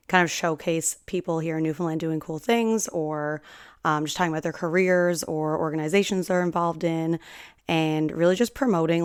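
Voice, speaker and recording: Woman in her twenties, slightly hoarse, chatty solo podcast host, lowish smooth voice, dry close sound. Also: no echo. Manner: chatty and rambling, friendly big-sister tone. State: slightly hoarse from singing at a concert. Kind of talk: casual solo podcast chat.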